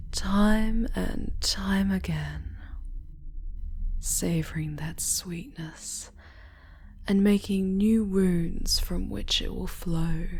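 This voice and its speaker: A woman playing a seductive, sadistic dark elf noblewoman in an intimate ASMR roleplay, breathy and often whispering, haughty and commanding. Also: fairly low pitch. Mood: sultry, savoring. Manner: slow, sultry, haughty and commanding. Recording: clearly audible background noise.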